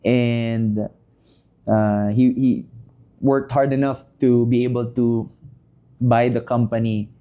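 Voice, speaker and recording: A man, a podcast guest who talks through his answers with many pauses and self-deprecating asides. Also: mid-range voice, little pitch movement, warm tone, dull audio. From Philippines. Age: 30s. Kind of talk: casual interview chat.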